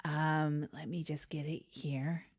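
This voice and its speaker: A woman playing an earnest, chatty small-town co-host of a local news show, speaking in a halting, literal way. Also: low-pitched, little pitch movement, a little mellow, slight background noise. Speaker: co-host of a comedy news podcast. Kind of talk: improvised mock local public-access news chat.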